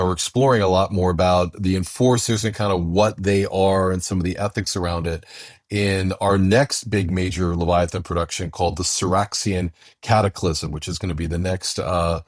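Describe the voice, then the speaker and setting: Low voiced guy cohosting a podcast intro, dry sound, kinda halting, repeats words. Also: no echo. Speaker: co-host and writer introducing an audio drama episode.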